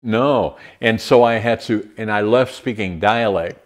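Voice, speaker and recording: A man's voice: lowish voice, unhurried. A man in his eighties with a Dutch accent, hosting a casual interview over a video call, with many fillers and restarts. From Netherlands. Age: 80s. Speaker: podcast host. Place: home room with large window.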